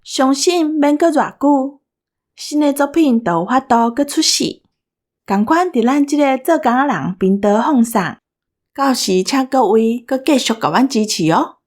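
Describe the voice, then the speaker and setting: A woman's voice: sits high, lively intonation, unhurried. A woman with a Taiwanese accent giving a casual farewell talk to listeners as a member of an audio team.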